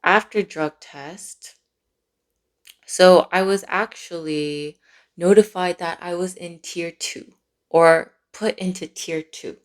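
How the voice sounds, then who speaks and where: A woman vlogger chatting casually and playfully through a personal story, sounding a little sleep-deprived, with animated asides. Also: on the low side. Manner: playful, animated asides with giggles and drawn-out words.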